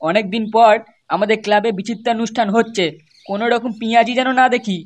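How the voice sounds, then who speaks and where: Fast-talking bossy young man acted by a man. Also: very high-pitched, steady tone, a little hiss or hum. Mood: bossy, scolding. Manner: scolding, brash. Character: bossy foul-mouthed young club leader, scolding his mates. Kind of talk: comedy cartoon dialogue.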